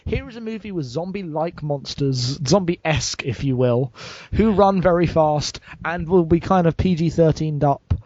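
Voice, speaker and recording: Male podcast member, fairly high pitch, casual movie talk, dry and slightly dull sound. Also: moderate pace, hardly any pauses, a little mellow, dry recording. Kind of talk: casual chat about films.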